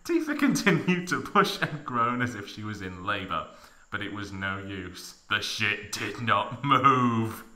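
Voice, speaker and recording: A man's voice: fairly low pitch, very animated, measured pace, pushed and bright. A man narrating a comic dramatic reading in a mock-serious, theatrical manner with heavy emphasis.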